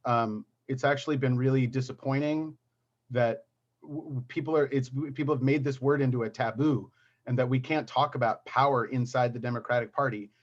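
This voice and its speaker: A man's voice: medium pitch, fairly even intonation, a little fast. Earnest man on a muffled video call. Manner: earnest, hesitant restarts, candid. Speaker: party officer candidate. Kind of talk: candidate forum answer.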